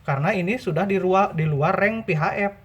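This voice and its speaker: Higher-pitched man explaining informally. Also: fairly quick, husky. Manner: explanatory, repeats and rephrases points. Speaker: presenter giving buying tips.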